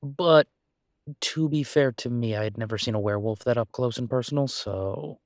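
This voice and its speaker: Casting: male actor as caring boyfriend for relationship roleplay audio; medium register, halting conversational delivery, reassuring and tender, dry intimate sound. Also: mid-range voice, no echo, lightly acted. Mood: sheepish, amused. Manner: hesitant, casual, soothing, with soft chuckles. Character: devoted human boyfriend of a werewolf, gently reassuring.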